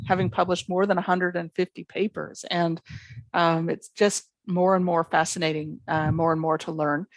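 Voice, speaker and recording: A woman's voice: lowish voice, dry recording. A middle-aged woman with a Canadian accent, a psychology professor answering interview questions thoughtfully and fluently. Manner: thoughtful, fluent academic explaining with many examples.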